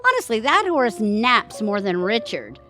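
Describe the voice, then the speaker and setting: A woman's voice: on the high side, very animated, measured pace, bright, forceful tone, faint music behind, full character voice. An actress in an audio comedy playing a wry, scheming middle-aged married woman with a dry, wisecracking manner. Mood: wry, chatty. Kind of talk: scripted audio theatre comedy acting.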